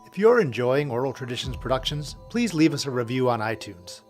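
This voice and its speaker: A man's voice: medium pitch, big pitch swings, fairly quick. A man giving a scripted credits announcement for an audio drama. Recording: music under the voice. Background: music.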